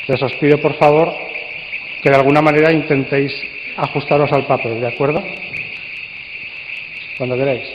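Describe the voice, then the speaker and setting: A man with an accent from Spain gives a live, interactive talk to an audience, with measured, playfully theatrical phrasing. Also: mid-range voice, little pitch movement. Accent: Spanish from Spain. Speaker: speaker and coordinator at a radio drama congress. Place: large indoor congress hall. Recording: dull, echoey hall recording with faint noise.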